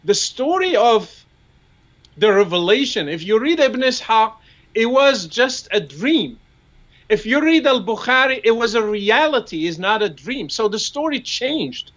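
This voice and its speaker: A man with an Arabic accent speaks in a notably high voice, stacking up rhetorical questions and repeating words as he works through an argument. His audio comes through dull and lo-fi, like a remote call. He speaks Arabic natively. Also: a bit bright. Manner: repeats words while building points, asks rhetorical questions. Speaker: guest commentator. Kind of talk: discussion on a live show.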